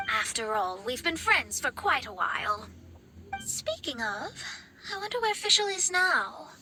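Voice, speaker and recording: A woman's voice: sits high, big pitch swings, measured pace. A woman voicing a proud, theatrical young female astrologer in an anime-style video game. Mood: thoughtful. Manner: haughty, theatrical. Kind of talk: anime game character acting.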